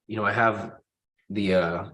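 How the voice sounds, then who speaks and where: A man's voice: lowish voice, fairly even intonation, a little slow, a bit bright, rough recording. A man with a stuffy nose from a cold, hosting a live trading stream in a casual, informal way and addressing viewers directly. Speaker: host of a live trading room.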